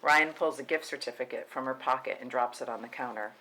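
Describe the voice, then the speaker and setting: Medium-pitched man reading screenplay action. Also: a little fast, bright, forceful tone, a little hiss or hum, rough recording. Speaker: stage-direction narrator. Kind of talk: scripted narration, screenplay table read.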